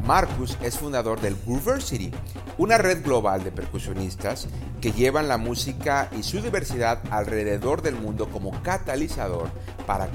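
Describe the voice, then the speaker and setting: Formal man hosting, lively pitch. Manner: formal, read-out delivery. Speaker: podcast host. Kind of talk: scripted introduction. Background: music.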